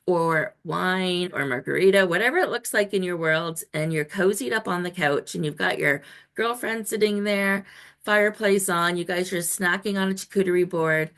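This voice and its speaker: A woman's voice: lowish voice, moderate pace, fairly bright tone. A woman in her fifties hosting a chatty interview show in an enthusiastic, informal and effusive way. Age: 50s. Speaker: show host and health coach.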